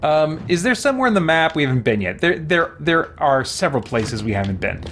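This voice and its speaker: A man's voice: a little high, very animated, fairly quick, fairly bright tone, slight background noise. A man chatting casually as he streams a game, reading on-screen text aloud and muttering mild exclamations to himself. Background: game music.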